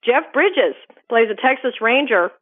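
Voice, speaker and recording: A woman's voice: on the high side, lively intonation, unhurried. Woman critic on a tinny phone line. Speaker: film critic guest. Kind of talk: phone-in movie reviews.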